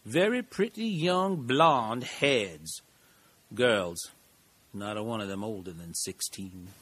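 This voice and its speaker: A man performs a middle-aged small-town lawyer for an audio drama. The voice is husky and swings widely in pitch. He is genial and eccentric, taking his time like a folksy storyteller. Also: lively intonation, fairly bright tone, dry recording, exaggerated voice. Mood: folksy storytelling. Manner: folksy, rambling, deliberate storyteller.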